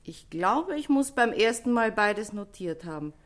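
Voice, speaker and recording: An actress playing a brisk, testy, chatty dress-shop owner, speaking brusquely and colloquially in a German radio crime play. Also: female voice, mid-range voice, animated, close to an everyday voice. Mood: explanatory.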